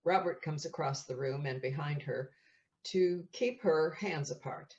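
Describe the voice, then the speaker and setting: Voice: mid-range voice, unhurried, a little mellow, highs cut off. A narrator reading out scripted stage directions for an audio play in a plain, descriptive way.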